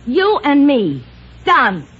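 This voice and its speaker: A woman's voice: high-pitched, huge pitch swings, drawn-out words, full character voice. An actress playing a cold, fed-up boxer's girlfriend in an old radio drama, curt and sarcastic. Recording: muffled vintage radio recording.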